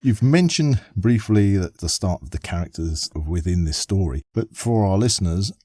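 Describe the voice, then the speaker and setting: A man's voice: deep voice, lively intonation, normal speed, warm tone, husky, no room sound, clean studio sound. A man hosting a podcast and chatting as he interviews a guest. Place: padded podcast studio.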